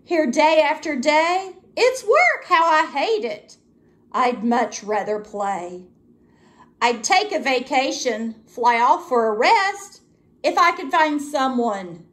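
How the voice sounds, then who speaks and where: Woman acting a lazy, whiny bird, very animated. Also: high-pitched, slow pace, full character voice. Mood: bored, whining. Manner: complaining, coaxing, sing-song.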